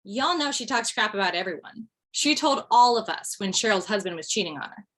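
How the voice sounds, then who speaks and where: A woman's voice: mid-range voice, expressive, brisk, a bit bright. A woman playing a wry, blunt mother in a comedy script table read. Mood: biting, wry. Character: wry, blunt widowed mother of two, former standup comic. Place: remote video call.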